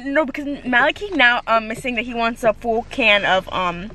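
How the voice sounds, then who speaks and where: A teenage girl filming a casual vlog with friends, excitable and laughing often. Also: a little high, normal speed, noisy background. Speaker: vlogger.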